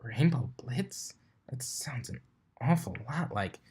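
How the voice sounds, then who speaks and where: A man's voice: mid-range voice, lively intonation, slow, darkish tone, a little hiss or hum, voice pushed up. A man voicing a young, bookish female unicorn who sounds inquisitive and flustered in a cartoon fan story. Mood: wary.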